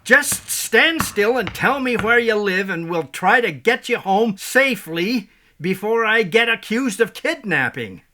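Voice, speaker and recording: An actor playing a grouchy, pompous middle-aged business executive in a stage play, grumbling, weary and sarcastic. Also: male voice, high voice, animated, unhurried, few pauses, pushed and bright, heavily acted. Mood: exasperated. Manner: grumbling, indignant, muttering, sarcastic. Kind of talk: theatrical stage-play monologue and dialogue.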